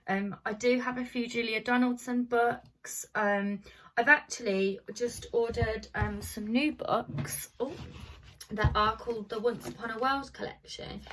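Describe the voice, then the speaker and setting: A woman's voice: a little high, fairly bright tone, distant mic, low-quality recording. A woman primary school teacher reading aloud to children in an informal, chatty way.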